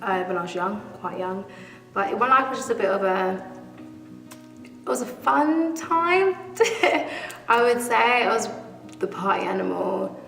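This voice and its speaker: A woman's voice: mid-range voice, lively intonation, a little slow, gravelly. A woman in her twenties giving a candid church testimony, laughing easily as she talks. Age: 20s. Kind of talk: testimony interview. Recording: echoey hall, mic far off, soft music.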